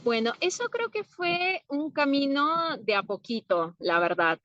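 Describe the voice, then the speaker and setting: A woman from Peru, an herbalist answering interview questions in an unhurried, thoughtful way with soft laughs. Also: high-pitched, sing-song, no echo, highs cut off.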